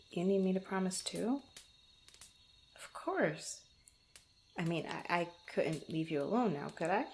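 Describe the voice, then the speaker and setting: A woman playing a proud but tender young female adventurer in an intimate ASMR roleplay, speaking softly and haltingly. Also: fairly low pitch, a little hiss or hum. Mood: tender, relieved. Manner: halting, stammering, soft-spoken with breathy asides.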